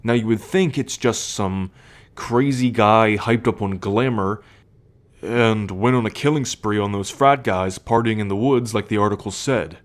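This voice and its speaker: A young man playing a troubled, protective male werewolf in an ASMR roleplay, speaking in a measured, halting, confiding way. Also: fairly low pitch, close to an everyday voice. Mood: earnest.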